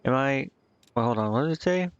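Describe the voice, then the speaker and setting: Man, creaky voice, casual game streamer, hesitant muttering, slightly dull mic, music playing behind. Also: medium pitch, expressive, brisk, no room sound. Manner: muttering, thinking aloud, hesitant. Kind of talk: casual live gameplay commentary.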